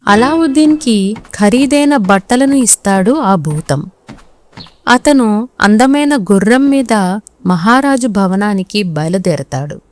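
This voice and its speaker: A woman's voice: medium pitch, lively intonation, takes it slowly. A woman reading scripted children's story narration as the storyteller.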